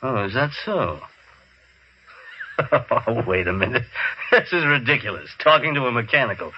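A man's voice: mid-range voice, big pitch swings, moderate pace, slight background noise, natural voice. An actor playing a harried, grumbling salesman in an old-time radio drama, with a blustery, muttering, conversational manner. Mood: curious, skeptical. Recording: dull, lo-fi old radio recording.

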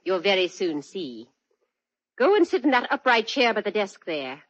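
A woman's voice: high-pitched, big pitch swings, moderate pace, a bit bright. Mrs Frampton, gushing lady gunwoman. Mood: menacing. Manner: fluttery and giggling, then cold and threatening. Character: gushing society lady who turns armed villain. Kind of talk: 1940s radio acting. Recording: dull lo-fi old radio recording.

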